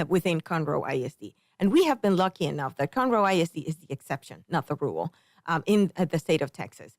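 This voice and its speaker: A middle-aged woman from the Dominican Republic answers interview questions in an earnest, careful way, pausing often on ums. Her voice sits on the low side and sounds close and dry. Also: no room sound. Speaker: political candidate, guest.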